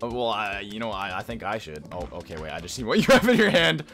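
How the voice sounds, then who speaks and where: Young male gamer, lively pitch, halting casual banter, faint music underneath. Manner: chatty, halting, repeats phrases. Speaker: gaming video host. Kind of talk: casual banter while playing a kart racing game.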